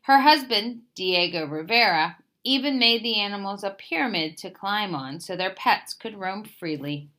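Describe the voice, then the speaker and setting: Female storyteller for picture book read-aloud. Bright tone, highly varied pitch, expressive phrasing. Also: normal speed, few pauses. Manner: expressive, emphatic phrase openings that settle at sentence ends.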